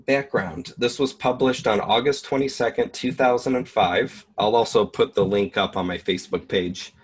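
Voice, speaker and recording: A man hosting an online video, reading a document aloud and commenting in a deliberate, emphatic way. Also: on the low side, husky.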